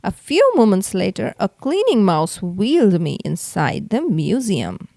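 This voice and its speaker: Woman, children's read-aloud, theatrical delivery, lively swooping pitch, unhurried pace. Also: gravelly, dry recording, clean studio sound. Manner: theatrical, acts out the exclamations. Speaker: storyteller reading a children's book aloud. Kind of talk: expressive read-aloud narration for kids.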